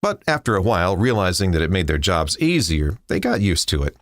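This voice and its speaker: A male radio host with a fairly low voice presents in DJ style. His intonation swings widely and expressively. The sound is dry, like a studio. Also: very animated, brisk, no echo.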